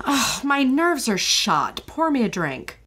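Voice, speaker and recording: A greedy, scheming widow with a haughty, commanding manner, played by a woman in an old-time radio drama. Her voice sits fairly high and swings widely, and she takes her time over every word. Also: sing-song, slow, bright, forceful tone, exaggerated voice. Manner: haughty, imperious.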